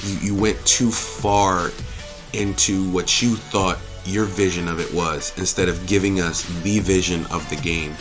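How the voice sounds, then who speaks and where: A man's voice: low-pitched, fairly even intonation, a little slow, music in the background. A man giving an opinionated, off-the-cuff review as a host, speaking emphatically, repeating himself and swearing freely. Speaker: movie reviewer and channel host.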